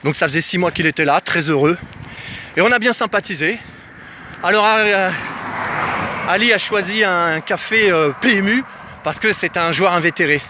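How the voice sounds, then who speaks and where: A man from France telling a casual personal travel story to camera in an animated way, acting out bits of dialogue as he goes. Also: high-pitched, moderate pace, pauses often, noisy background. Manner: animated, acts out reported dialogue. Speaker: travel vlogger. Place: outdoors in the countryside.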